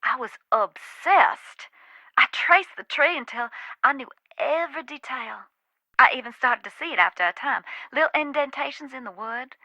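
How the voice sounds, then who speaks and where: Wry Southern ghost expert, American South accent, folksy, teasing, haunted, high forceful voice, dry close sound, video game. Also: female voice, expressive, normal speed, dry recording, full character voice, rough recording. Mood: haunted, grave.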